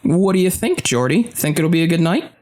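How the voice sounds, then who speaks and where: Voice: mid-range voice, lively intonation, brisk, darkish tone, lightly acted. An actor playing a playful, whimsical fight organizer in an audio drama, chatty and teasing.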